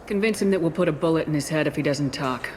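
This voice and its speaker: A woman's voice: sits low, fast talker, background noise, close to an everyday voice. A woman playing a tough, commanding military officer in a video game, speaking in a terse, firm and direct way.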